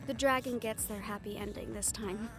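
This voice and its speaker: A woman's voice: mid-range voice, fairly even intonation, moderate pace, lightly acted. A woman playing a writer who fondly tells how her characters' stories end, in an intimate audio roleplay style. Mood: fond, wistful. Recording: music playing behind the voice. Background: background music.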